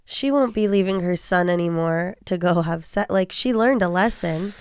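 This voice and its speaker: A woman's voice: on the low side, normal speed, a little mellow, clear, resonant tone, no echo, clean studio sound. A woman in her thirties chatting casually as a podcast guest, with an incredulous, reactive manner. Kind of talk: casual chat.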